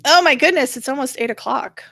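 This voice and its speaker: A woman hosting a casual talk show, chatting in a halting, wandering way. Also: high-pitched, sharp tone, no room sound. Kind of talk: casual conversational commentary.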